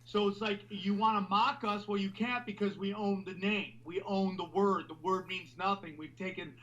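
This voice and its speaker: A man hosting a podcast, talking loudly and animatedly in a rambling, casual way. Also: high voice, fairly even intonation, moderate pace, muffled recording. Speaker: podcast host.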